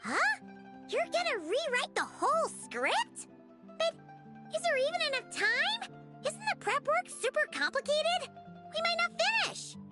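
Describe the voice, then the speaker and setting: A woman voicing a tiny, excitable, chatty female companion who talks about herself in the third person. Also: very high-pitched, measured pace, heavily acted. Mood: doubtful. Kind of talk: video game voice acting. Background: game music.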